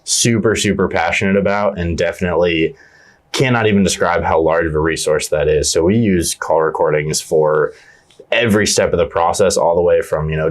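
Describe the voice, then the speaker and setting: Deep-voiced earnest young man. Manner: earnest and enthusiastic, repeats "yeah, absolutely". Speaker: team leader in agent outreach. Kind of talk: conversational interview answers.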